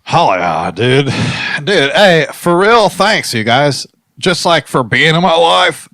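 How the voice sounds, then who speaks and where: A man's voice: a little high, very animated, slow, sharp tone, no echo, studio quality, heavily acted. A man playing an upbeat, self-pleased slacker musician on an improvised comedy podcast, with slangy, enthusiastic rambling. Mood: sincere, grateful.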